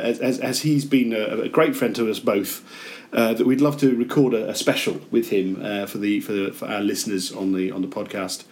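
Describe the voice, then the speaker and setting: A man's voice: on the low side, fairly even intonation, fairly quick, hardly any pauses, a little mellow. A man co-hosting a podcast in casual chat, stumbling and repeating words as he talks. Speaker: podcast co-host.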